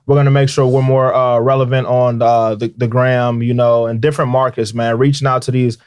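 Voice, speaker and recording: A man with a noticeably nasal voice talks loosely and at length, filling gaps with ums and you-knows. His voice sits at an ordinary pitch. He is recorded close on a studio mic with a dry, deadened sound. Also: level tone, a little fast, a little mellow, clear, resonant tone, no room sound. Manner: laid-back, rambling with fillers. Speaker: rapper guest. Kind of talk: casual interview talk. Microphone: studio microphone.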